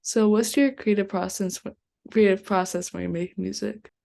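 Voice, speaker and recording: A woman's voice: medium pitch, steady tone, normal speed, creaky, mellow. A woman podcast host asking her guest brief, plain interview questions.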